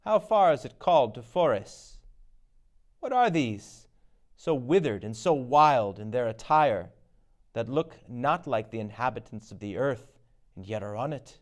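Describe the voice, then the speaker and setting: A man's voice: mid-range voice, animated, a little slow, natural voice. A man playing a bold, curious noble warrior in a dramatic Shakespeare reading, declaiming his questions. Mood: amazed.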